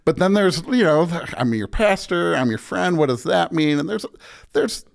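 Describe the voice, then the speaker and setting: A man's voice: on the high side, expressive, normal speed, vocal fry. A man speaking as a pastor and podcast guest in a casual, reflective interview, often restarting his sentences in an informal, self-deprecating way.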